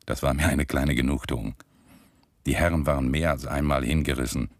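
A sober diarist with an extremely deep voice, recorded dry and close. He narrates in a measured, coldly factual way, as radio drama. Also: steady tone, no echo. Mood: detached, sober. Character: coldly matter-of-fact male chronicler reading his camp diary.

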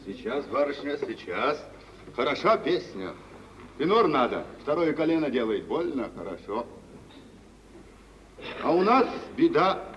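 An actor playing a fussing, woeful choir singer, eager to please, lamenting in broken, repetitive phrases in an old radio drama. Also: male voice, mid-range voice, big pitch swings, measured pace, long pauses, a bit bright, far from the mic. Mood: obliging. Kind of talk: mid-century Russian stage drama acting for radio. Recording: dull, reverberant old radio recording, faint noise.

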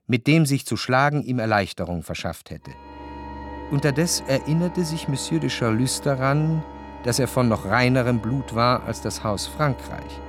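A male actor as a reflective narrator of literary fiction. His voice sits at a medium pitch, and he delivers long, composed sentences with an observant, ironic eye, as in a radio drama. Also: natural voice.

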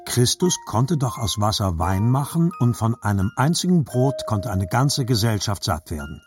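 An actor narrating as a grown man remembering his childhood, measured and reflective, in scripted literary style. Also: lowish voice, very animated, over music.

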